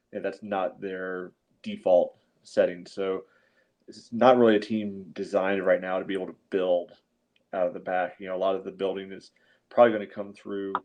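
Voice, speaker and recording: A man's voice: low-pitched, darkish tone, muffled recording. A man giving casual sports analysis as a podcast panelist, explaining things in a chatty, conversational way. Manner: explanatory, peppered with "you know".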